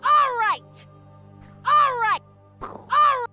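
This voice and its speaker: Super high shrill cartoon character, bossy and loud, music behind. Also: female voice, drawn-out words, full character voice. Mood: loud, insistent. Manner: forceful, exclaiming. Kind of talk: cartoon voice acting.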